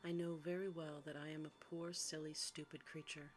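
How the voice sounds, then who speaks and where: A woman voicing a humble, gentle, melancholy male beast in a storybook reading, softly and with meek courtesy. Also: low voice, little pitch movement, moderate pace, natural voice. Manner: meek, self-deprecating, courteous. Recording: faint background noise.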